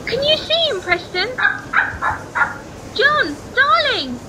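A woman's voice: extremely high pitch, very animated, takes it slowly, very noisy, exaggerated voice. A woman playing an affectionate, reassuring British wife in an amateur radio play. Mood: fond, excited.

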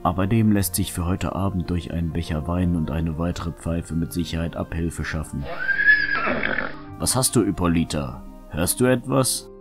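A man playing a gruff, hard-hearted wanderer in a fan audio drama, grumbling stubbornly as he confides in his horse. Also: sits low, normal speed, loud music behind the voice. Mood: softer, musing. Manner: grumbling, stubborn, self-justifying.

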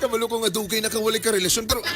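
A man's voice: high voice, fairly even intonation, rapid-fire, lightly acted. A man playing a timid, reluctant fellow who stammers and pleads in a comedic live drama. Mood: protesting, nervous. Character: flustered, timid, morally reluctant man resisting seduction. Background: loud music.

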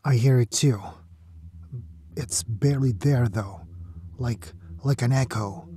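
A brooding man in a science fiction audio drama. He sounds uneasy, a survivor on edge. His voice is of middle pitch. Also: takes it slowly, mellow, breathy edge, no room sound, clean studio sound. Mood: eerie, uneasy.

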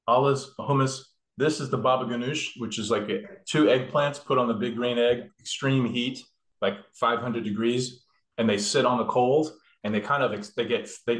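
A man's voice: medium pitch, a bit bright. Rambling man cooking in an echoey kitchen. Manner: rambling, explains step by step with fillers. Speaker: cook demonstrating a recipe. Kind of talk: casual cooking demo. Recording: echoey room.

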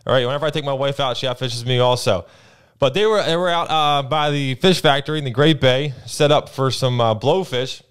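A young man with a New Jersey accent co-hosting a fishing report, telling his weekend trips in a relaxed way full of fillers, at a boom mic in a small studio. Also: fairly quick, clean tone. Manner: relaxed storytelling with many uh and um. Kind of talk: casual fishing report chat. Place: small studio with brick wall, indoors. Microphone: boom mic on arm, headphones.

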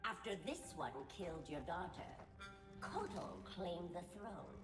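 A woman's voice: lowish voice, big pitch swings, measured pace, over music. A woman voicing a cold, menacing insect-humanoid schemer in a video game, speaking formally of herself in the third person. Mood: cold, defiant. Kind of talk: dramatic video game voice acting.

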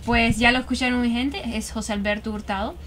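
Young woman, art-stream host, high bright chatty voice mixing in English. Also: steady tone, a little fast, slight background noise. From Cuba. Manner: chatty, mixes English words into Spanish. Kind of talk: casual live chat while painting. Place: home studio, indoors.

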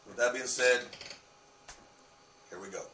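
A man's voice: medium pitch, a little slow, slight background noise. A man in his thirties vlogging casually from his living room, brash and swearing, his voice worn from losing it. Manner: brash, swearing, animated gestures. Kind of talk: casual profane vlog. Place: living room in a home, indoors. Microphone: webcam on desk. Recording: roomy webcam sound from a distance.

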